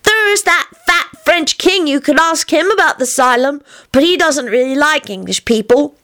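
Woman as a high-pitched, precocious little boy. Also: expressive, normal speed, pushed and bright, heavily acted. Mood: delighted. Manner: knowing, pious, childish grammar, earnest.

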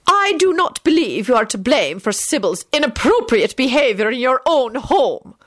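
A woman's voice: high voice, sing-song, normal speed, a bit bright, voice pushed up, heavily acted. A woman reading a story as a stern, icy elderly headmistress with a clipped, formal, severe manner. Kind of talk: audiobook character voice.

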